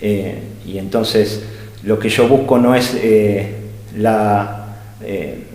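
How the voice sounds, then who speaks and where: A man's voice: on the low side, fairly even intonation, extremely slow, a little mellow. A man giving an unscripted philosophical talk, hesitating often and searching for words with fillers and restarts. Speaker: speaker at an art-gallery think tank. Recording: echoey hall with long reverb.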